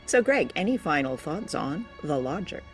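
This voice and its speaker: A woman's voice: low-pitched, very animated, moderate pace, soft background music. A woman co-hosting a show, chatting informally and telling stories about old radio drama. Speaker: podcast co-host.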